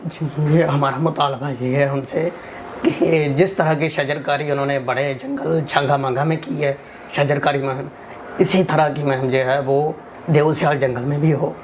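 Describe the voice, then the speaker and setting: A Pakistani man with a sore throat speaks in earnest, appealing tones as a studio guest, a touch higher than most men. His answers run on with habitual fillers. A faint hiss sits under the recording. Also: steady tone, moderate pace. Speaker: forest restoration activist, interview guest. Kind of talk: formal interview answers.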